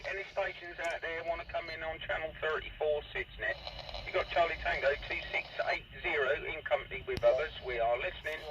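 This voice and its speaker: A man's voice: fairly high pitch, a little fast. A man making formulaic CB radio calls, inviting other stations to answer. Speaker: CB radio operator calling for stations. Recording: dull, distant, very noisy radio transmission.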